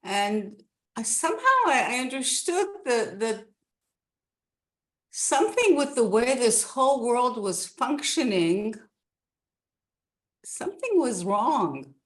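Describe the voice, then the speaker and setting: A woman's voice: on the high side, big pitch swings, slow pace, pauses often. A woman in her sixties from Canada, a guest telling her life story in a rambling, casual chat with many restarts.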